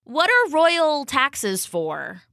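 Give this storybook duck , played by a woman, a high, bright voice that swings eagerly. He is a small, polite, cheerful fellow with a quacking, chanting way of talking and real determination. The sound is close and dry. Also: huge pitch swings, drawn-out words, vocal fry, no echo, heavily acted. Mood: determined. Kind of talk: children's storybook character voice.

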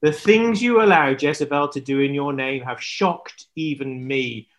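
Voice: mid-range voice, measured pace, lightly acted. A storyteller voicing a stern, solemn prophet who scolds and warns in a children's Bible story. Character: stern, grave adult male prophet.

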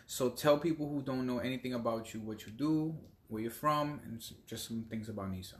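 A man hosting a casual podcast, upbeat, giving shout-outs and slowing down for emphasis. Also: mid-range voice. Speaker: podcast host.

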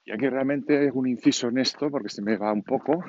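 A man's voice: medium pitch, a little fast, a little mellow. A man of 49 from Catalonia with a Catalan accent in his Spanish, hosting a solo podcast in an informal, unscripted monologue, thinking aloud as he rambles. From Catalonia, Spain. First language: Catalan, alongside Spanish. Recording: dull lo-fi home recording, clear background noise.

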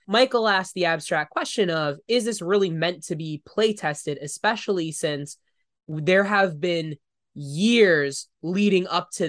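A man's voice: a little high, fairly bright tone, dry recording, studio quality. A man co-hosting a tabletop gaming podcast, congested and a little nasal, explaining his views in a thoughtful, casual way. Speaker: podcast co-host. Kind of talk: casual chat.

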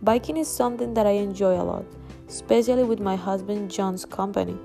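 Woman from colombia reading her intro for english class, gravelly mellow voice, upbeat and careful. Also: mid-range voice, brisk, soft background music. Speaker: English student. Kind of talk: reading a prepared self-introduction.

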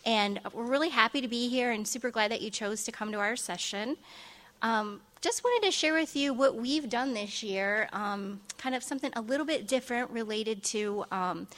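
A woman presenting a session at a conference as a school district director, soft-spoken, with many ums and restarts. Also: fairly high pitch, moderate pace. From Ohio. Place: conference room.

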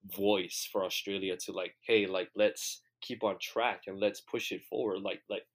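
A man's voice: low voice, steady tone, unhurried. A man hosting an interview, asking rambling questions and enthusiastically encouraging his guest. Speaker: host. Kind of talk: casual interview conversation.

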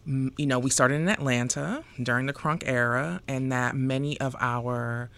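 A man with a Northern US accent, a guest speaking in a thoughtful, measured way in a casual discussion. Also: mid-range voice, steady tone, creaky, fairly bright tone, clear, resonant tone, no room sound.

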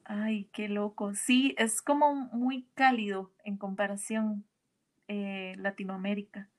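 A woman's voice: medium pitch, fairly even intonation, takes it slowly. A woman from Rica, interviewing a guest on a video call. She asks musing questions and laughs easily. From Costa Rica. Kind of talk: casual video call conversation.

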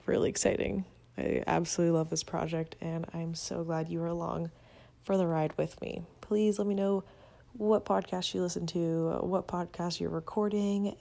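A woman's voice: on the low side, creaky, warm tone, dry recording. A young woman from Venezuela hosting her own podcast, talking casually and upbeat with a self-deprecating streak, recorded through a phone app. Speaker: podcast host. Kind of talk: casual solo podcast monologue. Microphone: phone app, no proper mic.

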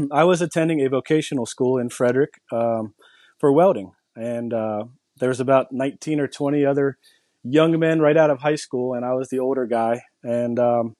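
A man's voice: medium pitch, normal speed, darkish tone, no echo. A middle-aged man co-hosting a podcast, telling stories with enthusiasm in casual chat. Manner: enthusiastic storyteller. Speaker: podcast co-host.

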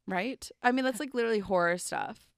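A woman's voice: fairly high pitch, brisk, creaky, clean tone, no echo, clean studio sound. A young woman co-hosting a podcast, casually telling a true crime story with lots of "like" and "um" fillers. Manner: chatty, full of "like" and "um" fillers. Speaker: podcast co-host.

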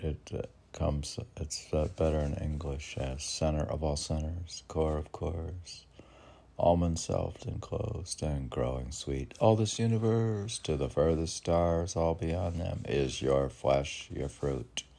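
An older man reading poetry informally, with halting, musing asides in which he asks and answers himself. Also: rumbling low, creaky, mellow. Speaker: poetry reader and podcast host.

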